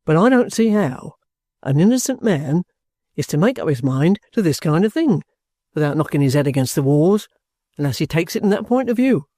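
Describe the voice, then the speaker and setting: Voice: mid-range voice, big pitch swings, moderate pace, mellow, dry recording, clean studio sound. A reader voicing a bluff, stubborn ex-soldier in an audiobook, courteous, plain-spoken and offhand. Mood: calm, reasonable. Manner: respectful, offhand, self-possessed.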